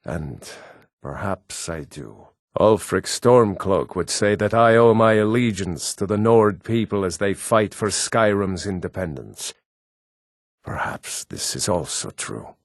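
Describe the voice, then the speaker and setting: An actor playing a proud, stern Nord man in a fantasy video game, with a measured, weighty delivery. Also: lowish voice, animated, no room sound, studio quality. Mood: grave, guarded.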